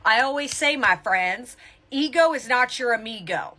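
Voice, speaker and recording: A woman's voice: a little high, expressive, moderate pace, pushed and bright. A woman giving motivational spiritual advice as a tarot reader, in a blunt, emphatic tough-love manner with casual swearing.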